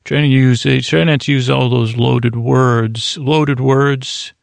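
A man hosting a sleep podcast, rambling in a meandering, soft-spoken bedtime monologue that keeps interrupting itself. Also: medium pitch, steady tone, slow, gravelly, dry recording.

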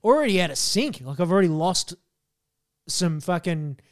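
An Australian man co-hosting a podcast in casual chat, hoarse after losing his voice, swearing and telling stories animatedly. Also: high-pitched, sing-song, normal speed. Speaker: podcast co-host.

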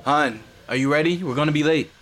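A man's voice: on the high side, fast talker, a bit bright, soft background music, natural voice. An actor playing a mild, reassuring male partner with a slightly hesitant manner in a naturalistic audio drama. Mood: patient, concerned. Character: gentle, supportive male partner, bashful aspiring writer.

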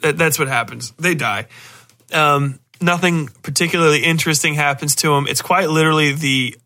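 A man's voice: fairly high pitch, steady tone, moderate pace, sharp tone. A man hosting a podcast, giving a casual, rambling film review in a blunt, profane, sardonic way with exasperated asides. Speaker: podcast host reviewing horror films. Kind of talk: casual spoken movie review.

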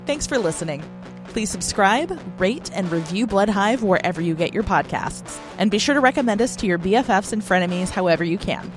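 A woman's voice: medium pitch, animated, brisk. A woman podcast host reading scripted credits and announcements. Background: music.

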